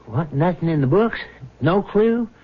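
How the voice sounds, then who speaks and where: A shrewd, slangy sidekick in a schemer's radio tale. He is brisk and eager, pitched a little high, heard through a tinny, narrow old broadcast. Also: animated, husky. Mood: eager, excited. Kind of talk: radio drama acting.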